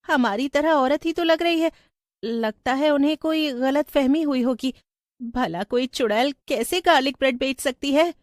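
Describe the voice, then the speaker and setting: A woman playing an earnest, plaintive young village wife in an animated story. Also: high-pitched, normal speed, no room sound, studio quality, close to an everyday voice. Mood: doubtful. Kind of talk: animated Hindi story acting.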